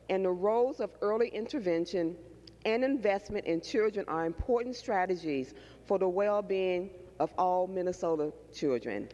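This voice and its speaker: A woman's voice: mid-range voice, darkish tone. Woman lawmaker in a muffled, echoing chamber. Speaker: state legislator. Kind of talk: formal reading of a resolution. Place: large indoor legislative chamber. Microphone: handheld floor microphone. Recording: reverberant legislative chamber, muffled PA sound.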